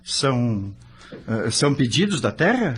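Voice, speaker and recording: A man's voice: mid-range voice, very animated, unhurried, slight background noise. A man playing a curious, awed spirit newcomer who narrates his story in an audio novel. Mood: curious, questioning. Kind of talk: dramatized scripted narration.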